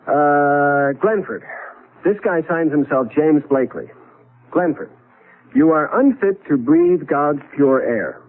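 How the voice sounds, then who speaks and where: An actor playing a bewildered, wry husband in a 1970s radio drama, earnest and emphatic, sometimes halting. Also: male voice, fairly high pitch, expressive. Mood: declamatory. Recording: tinny, band-limited vintage radio recording.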